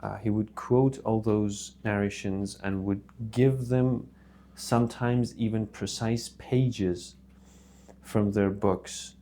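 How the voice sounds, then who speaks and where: A man with a lowish, gravelly voice gives a religious talk in an earnest, unhurried way. He pauses often and adds reverent honorifics after sacred names. A faint hum sits under the recording. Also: fairly even intonation, mellow. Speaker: religious video host. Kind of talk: casual religious monologue to camera.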